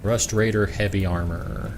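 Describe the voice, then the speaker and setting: A man's voice: lowish voice, steady tone, moderate pace, background noise. A young man from the American South with a Southern accent, streaming a game and rambling in self-mocking asides. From Southern United States. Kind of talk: casual gameplay commentary. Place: home room.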